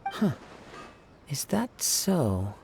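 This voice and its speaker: An actor playing a modest young samurai wanderer in an anime game, composed, polite and reflective. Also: male voice, a little high, very animated, very slow, vocal fry, a little mellow, soft background music, exaggerated voice. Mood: tactful.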